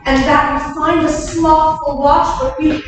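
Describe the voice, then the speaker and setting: A woman's voice: high voice, little pitch movement, a little slow. A woman playing a bold, cunning young French warrior woman in a declamatory Shakespearean staged reading. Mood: commanding. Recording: echoey distant room recording, faint noise.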